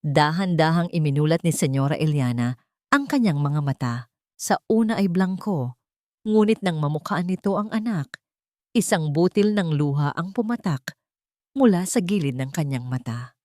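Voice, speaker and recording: A woman's voice: low-pitched, expressive, normal speed, a little mellow, no room sound, studio quality. A woman narrating a scripted audio story in a dramatic storytelling style.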